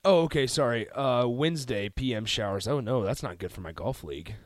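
A man's voice: mid-range voice, lively intonation, fairly quick, dry recording, studio quality. A man co-hosting a sports radio show, talking in a laid-back, self-deprecating way. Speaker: radio co-host. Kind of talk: casual sports radio banter. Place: radio studio.

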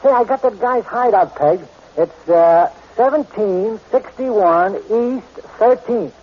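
An American actor playing an eager, streetwise small-time crook in a 1940s radio drama, talking in slang. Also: male voice, high-pitched, slow pace, husky, exaggerated voice. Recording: muffled, noisy old radio recording.

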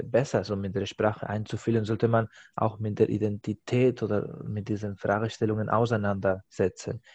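Low-voiced man hosting, halting. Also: a little mellow, no room sound. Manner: halting, searching for words with many fillers. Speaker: podcast host. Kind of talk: casual interview.

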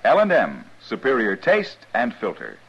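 A man's voice: medium pitch, wildly swooping pitch, moderate pace, slightly rough. A man reading short, scripted advertising lines in 1950s radio style. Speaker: commercial announcer. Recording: dull old radio recording.

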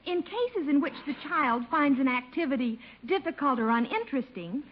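High-pitched eager youngster, lively swooping delivery, radio comedy acting, dull old recording. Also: female voice, normal speed. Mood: earnest, persuasive.

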